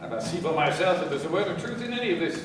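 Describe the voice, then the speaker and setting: Radio play casting: authoritative police inspector male actor, fairly high and very expressive, roomy distant sound. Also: very fast, close to an everyday voice. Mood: brisk, businesslike. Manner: authoritative, brusque. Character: brisk, commanding English police inspector. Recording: echoey room, distant mic, faint noise.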